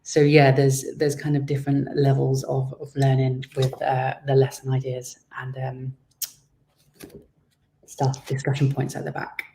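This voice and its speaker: A man speaks with noticeable creak at a middling pitch. He is an author answering interview questions, explaining his work in a measured, conversational way. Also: completely flat delivery, dark tone, slight background noise, poor audio. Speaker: children's author and guest.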